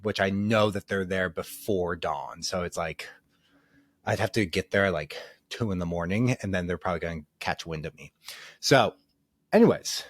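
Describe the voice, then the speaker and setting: A man talks through a solo podcast in a loose, rambling way, full of ums and restarts. His lowish voice sounds a little nasal and stuffed up from a cold. The sound is dry and close. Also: no echo. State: congested from a cold. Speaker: podcast host. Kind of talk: casual solo podcast monologue.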